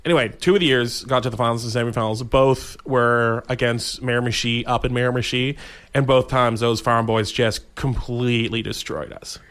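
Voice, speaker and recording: A Canadian man chatting casually as a radio co-host, in a halting, informal way. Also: medium pitch, steady tone, a bit bright. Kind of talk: casual chat.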